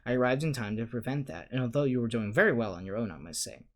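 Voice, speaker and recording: A man reading aloud and voicing a kindly old male headmaster wizard who speaks gently and calmly, with a wry touch. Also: mid-range voice, fast talker, natural voice. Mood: gentle. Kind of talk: audiobook reading.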